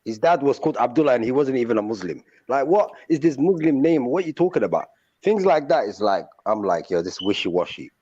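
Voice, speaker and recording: A man's voice: mid-range voice, very animated, fast, darkish tone, husky, no room sound. Blunt man on a lo-fi line. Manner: blunt, uses street slang like "bruv" and "man". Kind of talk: casual argumentative chat. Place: online call.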